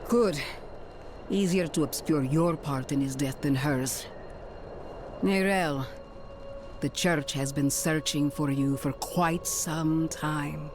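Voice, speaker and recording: Regal, stern older woman of the cloth, fantasy game, imperious slow delivery over clear score. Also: sits low, fairly even intonation. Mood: cool, imperious. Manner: formal, deliberate, imperious. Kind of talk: dramatic video game acting. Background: cinematic background music.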